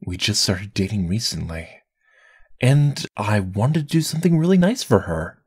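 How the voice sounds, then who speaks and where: A man's voice: fairly low pitch, sing-song, normal speed, a little mellow, slightly rough, dry recording, clean studio sound, exaggerated voice. An actor voicing a reserved, slightly fussy young male customer in an anime-style visual novel, speaking with consideration. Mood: thoughtful, hesitant. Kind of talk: scripted anime-style game dialogue.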